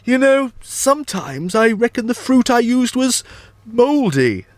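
A man's voice: very high voice, sing-song, measured pace, no room sound, full character voice. A man voicing a panicky, eccentric mad scientist in a comedy audio sketch, melodramatic and exclamatory. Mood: explaining.

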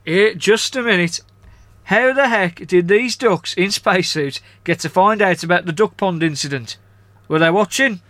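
Man playing a high-pitched grumpy sidekick. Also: normal speed, a bit bright, no echo. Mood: impatient. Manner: sardonic, scolding. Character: grumpy, sarcastic companion, scornful and impatient. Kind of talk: comedy audio sketch acting.

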